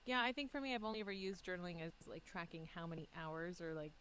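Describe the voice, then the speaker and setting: A woman's voice: fairly low pitch, fairly even intonation, a bit bright, clear, resonant tone, rough recording. A woman from Alberta, Canada, hosting a podcast interview in an enthusiastic, easygoing way. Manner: enthusiastic, quick, laughs easily. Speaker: podcast host. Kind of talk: casual interview chat.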